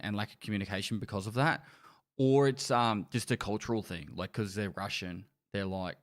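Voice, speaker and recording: Low-voiced man ranting casually. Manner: chatty, jokey, exasperated rants. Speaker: podcast host. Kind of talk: casual podcast chat.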